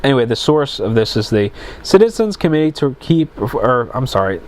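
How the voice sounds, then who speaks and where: A man's voice: mid-range voice, very animated, fairly quick. A man with a stuffy, congested voice hosts his own podcast, reading news pieces aloud in a mid-range voice. He rambles between items and trips over words, backing up to fix them as he goes. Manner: rambling, self-correcting, stumbles over words. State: congested, plugged sinuses. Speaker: podcast host.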